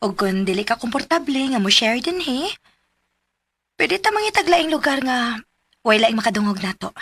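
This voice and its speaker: An actress playing a gentle, devout woman who gently coaxes a troubled friend to open up, in a radio drama. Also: fairly high pitch, big pitch swings, normal speed, slightly rough, no room sound, exaggerated voice. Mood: caring. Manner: warm, coaxing, concerned.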